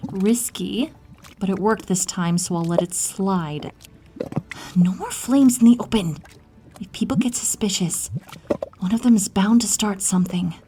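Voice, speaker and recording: A woman playing a centuries-old moon spirit healer in a fantasy audio roleplay, motherly and brisk, with an expressive, hushed delivery. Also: medium pitch, background noise, close to an everyday voice. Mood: relieved, chiding.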